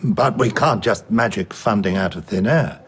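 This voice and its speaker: A man acting in a radio drama as a guarded professor who runs a research centre, reasoning with measured authority. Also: on the low side, breathy edge. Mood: firm, resistant.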